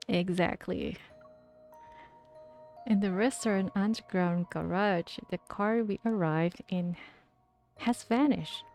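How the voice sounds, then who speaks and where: A woman with a mellow, soft-edged voice reads the game's story aloud, then drifts into casual, thinking-aloud chat with her viewers. Faint music sits under her voice. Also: medium pitch, slow pace, long pauses. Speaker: streamer. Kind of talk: reading game text aloud and chatting with viewers.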